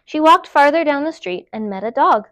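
Animated woman reading a picture book. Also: high voice, no room sound. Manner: animated, emphatic, deliberate storytelling. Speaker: library storytime reader. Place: indoor room, seated before a dark wall. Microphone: clip-on lapel mic.

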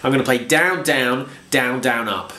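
A man's voice: medium pitch, normal speed, pushed and bright. A man teaching guitar at home in a chatty, self-deprecating way, congested from a cold and counting strums aloud. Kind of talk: casual guitar lesson. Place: home room with bookshelves. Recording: echoey room with guitar playing.

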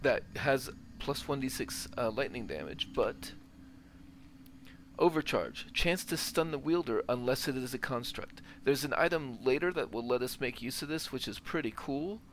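A man's voice: medium pitch, expressive, moderate pace, fairly bright tone, a little hiss or hum. A man giving casual gameplay commentary as a gaming host, thinking aloud as he plays. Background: video game ambience.

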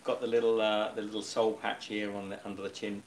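A man's voice: lowish voice, little pitch movement, fairly quick, slight background noise. A middle-aged man hosting a casual live stream from his home kitchen, chatty and rambling. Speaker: host. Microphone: webcam on table.

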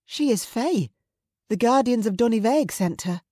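A protective woman as acted by an audiobook narrator, her voice lifted high. She speaks earnestly in old-fashioned dialect, like a mother in a historical romance, recorded close and dry. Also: animated, moderate pace, warm tone, dry recording, natural voice. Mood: alarmed, defiant. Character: anxious Scottish Highland woman, protective mother.

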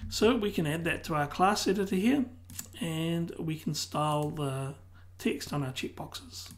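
A tutor losing their voice who keeps clearing their throat, calmly explaining a software tutorial one step at a time. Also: mid-range voice, a little slow. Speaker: web design tutor. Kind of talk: screen-recorded software tutorial.

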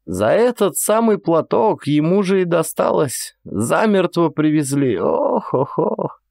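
A male narrator plays a frail old village woman in a storybook, her voice pitched high and swinging up and down. She rambles in folksy, resigned, childlike phrases, with sighs. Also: big pitch swings, slow, gravelly, a little mellow, no room sound, clean studio sound, full character voice. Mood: plaintive recollection. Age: older. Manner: folksy rural speech, rambling, sighing. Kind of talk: dramatized audiobook storytelling.

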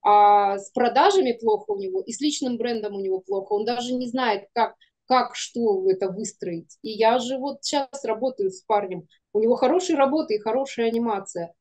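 A middle-aged woman with a fairly high voice and a very soft, mellow tone. She talks casually, as a guest in a conversation over a video call. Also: animated, a little fast. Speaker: guest, animation studio producer. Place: indoor room, video call.

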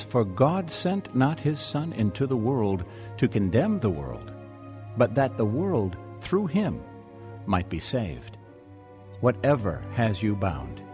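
A male radio announcer with a medium-pitched, highly animated voice reads scripted ministry messages. His delivery is earnest and deliberate, with music playing behind him.

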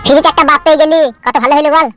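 A man's voice: very high-pitched, fairly even intonation. A man voicing an exasperated, crude husband who scolds in a comedy cartoon. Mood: angry, mocking. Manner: scolding, crude, rapid-fire. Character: foul-mouthed, exasperated husband ranting about his runaway mother-in-law. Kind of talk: amateur comedy cartoon dubbing.

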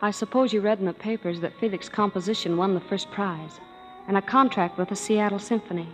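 An actress playing a loving aunt, reading her letter aloud gently in a 1930s radio drama. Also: medium pitch, steady tone, brisk, lightly acted, poor audio. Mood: affectionate. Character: loving older aunt writing home. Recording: old radio drama with loud music underneath.

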